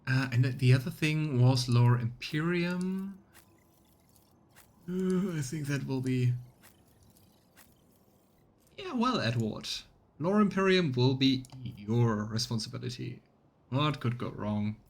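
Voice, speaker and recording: A man's voice: medium pitch, animated, darkish tone. Man thinking aloud over a game. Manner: thinks aloud, reads item text in quick bursts. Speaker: let's play streamer. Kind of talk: casual gameplay commentary.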